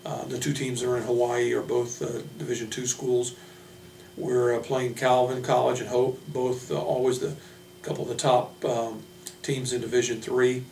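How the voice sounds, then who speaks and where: A man talks in a flat, even tone, like a college coach running through his roster without a script. His delivery is halting and plain-spoken. The recording is roomy and distant, with a noticeable hum. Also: mid-range voice, gravelly. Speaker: college basketball head coach. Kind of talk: unscripted team roster introduction.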